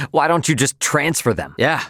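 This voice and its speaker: A man's voice: on the high side, a bit bright, dry recording, studio quality, exaggerated voice. An actor playing a brash, informal young starship captain, a cocky but loyal man, in a video game. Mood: determined, businesslike. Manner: informal, slangy, plain-spoken. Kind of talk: English game dub character dialogue.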